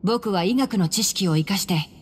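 A woman voicing a calm, clever young male scholar in an anime game, composed and articulate as he explains things. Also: medium pitch, moderate pace, husky, in a large hall, natural voice. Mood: thoughtful, assured.

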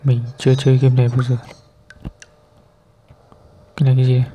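Casual mobile gamer, mid-pitched, short self-directed remarks and questions, clear background noise with tapping. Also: completely flat delivery, fairly quick, dark tone. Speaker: gamer commentator. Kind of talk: casual gameplay commentary. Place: indoors, filming a handheld screen being played. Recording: noisy room, tapping clicks.

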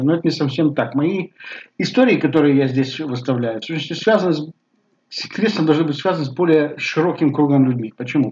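A man in his sixties with a Bulgarian accent, talking casually to camera at home, halting with many fillers. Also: a little high, a little mellow. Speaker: vlogger. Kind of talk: casual personal talk to camera. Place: living room at home. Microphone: webcam at desk. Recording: slightly dull webcam audio.

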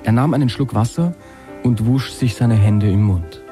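A man giving a deadpan comic reading, with some casual chat around it. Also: medium pitch, moderate pace, music in the background. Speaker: comedian reading his own comic story.

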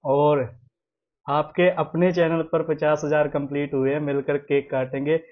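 A man's voice: fairly high pitch, flat delivery, a little slow, highs cut off. A young man hosting a casual home vlog, chatting to viewers and repeating himself often. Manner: chatty, repeats himself, sprinkles English words. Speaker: vlogger host. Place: small room at home, indoors.

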